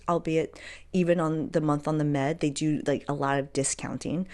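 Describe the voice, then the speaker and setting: Lower-pitched woman hosting a casual podcast. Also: darkish tone. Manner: conversational, emphatic, with rhetorical questions and asides. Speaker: podcast host. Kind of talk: casual solo podcast talk giving advice.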